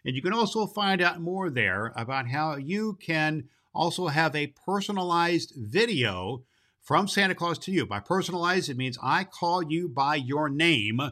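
Kindly old Santa Claus acted by a man, children's show host, folksy and rambling, bright voice with very lively pitch. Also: a little slow. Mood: cheerful, promotional. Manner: folksy, rambling, emphatic, repeats himself.

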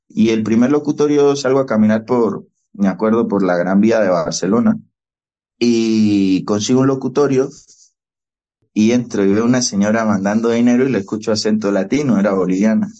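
A young Venezuelan man, a podcast guest, telling his story with animated, reenacted dialogue. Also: fairly low pitch. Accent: Venezuelan Spanish. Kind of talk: storytelling interview. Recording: dull, lo-fi podcast audio.

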